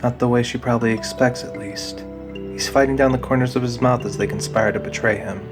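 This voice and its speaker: A man giving a scripted, deliberate narration of a fantasy audio drama. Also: medium pitch, little pitch movement, over music.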